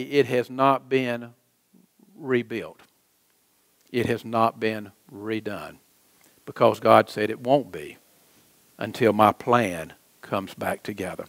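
A middle-aged American man preaching a sermon as a pastor, speaking in an emphatic, deliberate manner. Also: mid-range voice, no echo. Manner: emphatic, deliberate, with rhetorical questions and repetition. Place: church sanctuary, preaching from a lectern.

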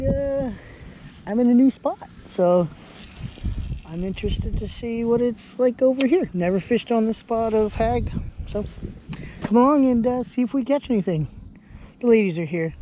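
A man's voice: high-pitched, warm tone, low-quality recording. A young man hosting a casual fishing vlog from a lakeshore, chatty and upbeat, laughing often, recorded on a body-worn camera. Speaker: fishing vlogger. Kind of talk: casual outdoor vlog narration. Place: outdoors on a lakeshore. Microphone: body-worn action camera. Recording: outdoor wind and ambient noise.

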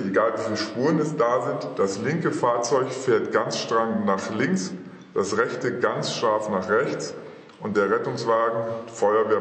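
A reverberant meeting room surrounds a middle-aged man with a medium-pitched voice. He explains rules in the manner of a practical demonstration, and the room echoes around him. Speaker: emergency service chief explaining rules.

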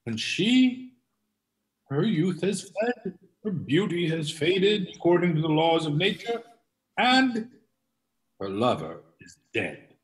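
A man reading a meek, wounded but proud hanger-on in an amateur play, earnest and halting, over a video call. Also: a little high, sing-song. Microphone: video call microphone. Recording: distant video-call microphone.

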